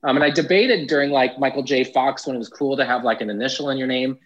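A Canadian man with a naturally high voice, chatting playfully in an interview about acting and impressions. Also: lo-fi sound, a little hiss or hum. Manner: playful, self-deprecating, quick asides. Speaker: actor and impressionist guest. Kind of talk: casual interview chat.

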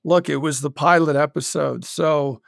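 A man talking casually as the creative guest in an interview, telling stories in an animated, self-interrupting way and acting out bits of dialogue. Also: a little high, normal speed, creaky, no room sound, studio quality. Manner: animated, self-interrupting storyteller who acts out quoted dialogue. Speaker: guest, audio drama creator and writer.

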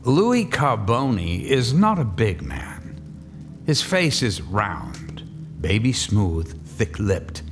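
A man narrating a radio drama in a measured, vivid storytelling voice. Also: on the low side, big pitch swings, soft background music.

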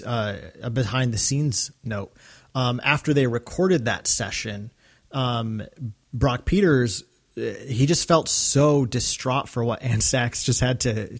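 A man co-hosting a podcast, giving casual commentary in an agreeable, explanatory way. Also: mid-range voice. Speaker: podcast co-host.

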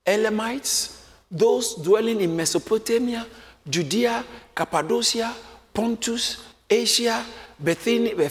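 A man preaching a sermon, lively and often acting out the voices of a crowd, joking with his congregation. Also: high-pitched. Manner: animated, acts out crowd voices, jokes with congregation. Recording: echoey hall with faint room noise.

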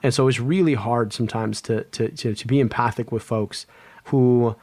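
A man's voice: mid-range voice, fairly even intonation, normal speed, darkish tone, no echo. A man, an epidemiologist guest, speaking earnestly in an interview, often restarting and repeating words. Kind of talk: conversational interview.

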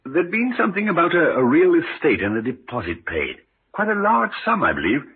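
An actor playing a courteous, hesitant small-town doctor in an old radio drama, speaking formally and hedging. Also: male voice, a little high, wildly swooping pitch, fairly quick. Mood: guarded. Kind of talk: 1950s radio drama acting. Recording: tinny, noisy old radio recording.

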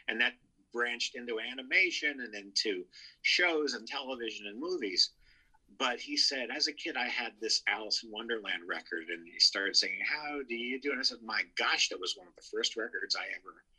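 A man with a very bright, forceful voice and a husky grain speaks as a show contributor. He reminisces conversationally, telling anecdotes with firm emphasis. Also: medium pitch, low-quality recording. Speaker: show contributor, entertainment writer.

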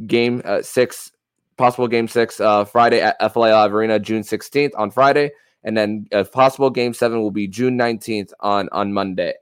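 A man hosting a sports talk podcast, speaking in a halting way and often repeating words mid-sentence. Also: on the low side, clean tone, no echo. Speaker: podcast host.

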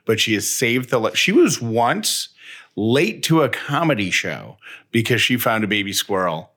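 A man's voice: mid-range voice, very animated, moderate pace, a bit bright. A man co-hosting a podcast in casual, conversational banter. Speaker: podcast co-host.

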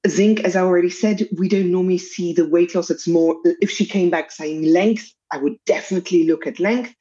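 South African woman with a low mellow voice. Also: fairly even intonation, muffled recording. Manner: measured, lists factors one by one. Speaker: pediatric dietitian guest. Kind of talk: expert explaining in a podcast interview.

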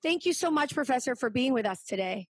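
A woman's voice: sits high, expressive, fairly quick, breathy edge, soft background music. A woman hosting a podcast interview, enthusiastic and chatty as she introduces her guest. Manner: enthusiastic, bilingual code-switching intro. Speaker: podcast host.

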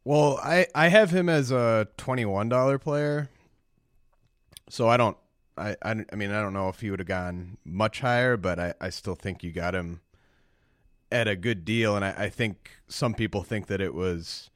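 A man co-hosting a sports podcast with a rough, raspy voice, speaking haltingly and often repeating his words. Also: on the low side, no echo, clean studio sound. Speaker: prospect writer, co-host. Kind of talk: casual fantasy baseball chat.

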